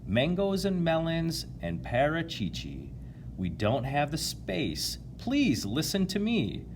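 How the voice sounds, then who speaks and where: A man's voice: a little high, huge pitch swings, slow pace, a little hiss or hum, voice pushed up, full character voice. A man voicing a worried, pleading young boy in a storybook. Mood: protesting. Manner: protesting, pleading. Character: worried young boy, protesting the crowded bus.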